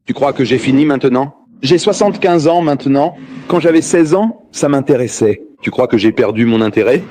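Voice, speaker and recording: A man's voice: medium pitch, lively intonation, moderate pace, background noise. A man giving a public lecture on religion, asking the audience rhetorical questions and joking as he goes.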